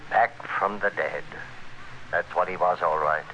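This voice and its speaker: A man's voice: deep voice, unhurried, lo-fi sound. An actor playing a cold, domineering male criminal who schemes and gives orders in a 1940s radio thriller. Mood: grim, calculating. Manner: hard, domineering, clipped and menacing. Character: cold, scheming male criminal narrating his murderous plot. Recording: noisy vintage 1940s radio recording.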